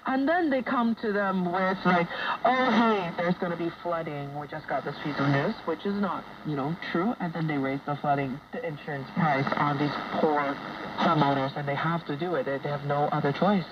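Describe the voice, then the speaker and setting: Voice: mid-range voice, moderate pace. A speaker in a casual radio discussion, telling anecdotes in a chatty way and acting out reactions. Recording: distant, dull, noisy shortwave radio broadcast.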